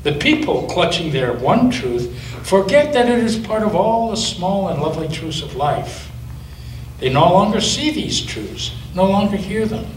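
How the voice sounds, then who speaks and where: A male pastor reading aloud and voicing an ancient, wise, gentle female turtle in a soft, hushed, slow way in an echoey church. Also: sits high, sing-song, recorded from a distance, a little hiss or hum, pitched above the performer's own voice.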